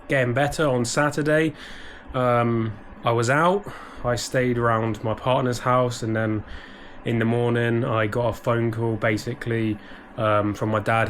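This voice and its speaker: A man's voice: medium pitch, a bit bright, slight background noise. A British man talking casually to camera from his office, a little stuffy and bunged up, rambling with many ums and restarts. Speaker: eBay reseller vlogger. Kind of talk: casual vlog talk to camera. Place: small home office.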